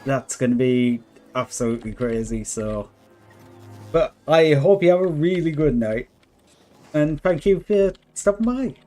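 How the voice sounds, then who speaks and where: A man streaming a game live with a worn, hoarse voice, thinking out loud and chatting with viewers. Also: fairly high pitch, animated, unhurried. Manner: thinks aloud, chats with viewers. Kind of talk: relaxed live gameplay commentary. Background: faint background music.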